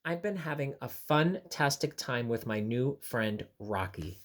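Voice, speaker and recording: A man's voice: mid-range voice, expressive, unhurried, very noisy, close to an everyday voice. A man voicing a friendly, chatty jellyfish from a picture book, playful and bouncy in delivery. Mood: cheerful.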